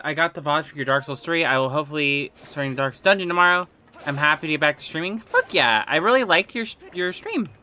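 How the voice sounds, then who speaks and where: Man, game streamer, bright forceful voice, rambling casual chat, slightly phlegmy, faint game music behind. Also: on the high side. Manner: rambling, trailing off and restarting, chatty with viewers. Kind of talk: casual livestream chat while gaming.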